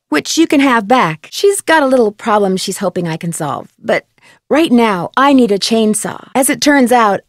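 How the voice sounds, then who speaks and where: A woman's voice: on the high side, dry recording, clean studio sound, close to an everyday voice. A woman playing a friendly, eager young amateur sleuth in scripted adventure game dialogue.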